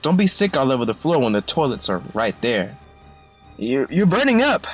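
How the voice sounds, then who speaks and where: A woman playing a casual, slangy school kid with an excitable manner in an audio drama. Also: very deep voice, moderate pace, husky, faint music behind, full character voice. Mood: concerned. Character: casual, alarmed school kid, helpful then panicky.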